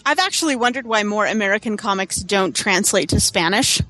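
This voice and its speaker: A woman's voice: medium pitch, lively intonation, brisk, sharp tone, poor audio. A woman chatting informally and jokingly as a comic creator on a panel. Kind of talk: casual chat.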